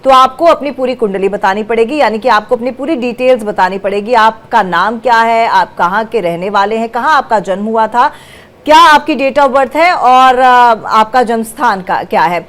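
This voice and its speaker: Lady tv anchor running a call-in show, brisk, high-ish voice, keeps repeating things for viewers, coughs a bit. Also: a bit bright. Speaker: news channel anchor. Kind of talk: live TV call-in show hosting. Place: TV studio.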